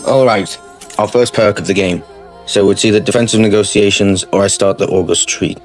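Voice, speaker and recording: Low, flat-voiced man explaining his game moves. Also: fairly quick. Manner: matter-of-fact, explains each move step by step. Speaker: gaming channel host. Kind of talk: strategy game playthrough commentary. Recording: faint music bed under the voice.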